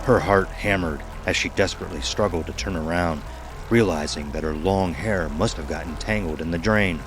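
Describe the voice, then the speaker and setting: A man's voice: deep voice, moderate pace, rough recording. A man giving scripted narration as the narrator of an audio horror drama. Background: music.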